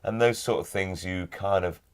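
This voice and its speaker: A middle-aged man with a very low voice talks in a studio chat. He is thoughtful and halting, and he often repeats a word as he finds his way. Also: clear, resonant tone. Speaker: audiobook narrator and guest. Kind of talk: casual interview chat. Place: recording studio.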